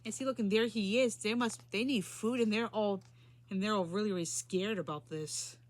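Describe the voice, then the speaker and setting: A woman's voice: medium pitch, normal speed. A woman storyteller reading a children's storybook aloud and adding simple asides about the pictures.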